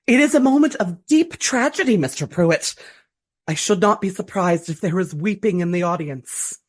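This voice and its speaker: An actress in a radio play voicing a gushing, melodramatic amateur stage actress of the Regency era, speaking with theatrical earnestness. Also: female voice, lowish voice, expressive, moderate pace. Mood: tragic, theatrical. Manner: theatrical, breathy earnestness, dramatic flourishes.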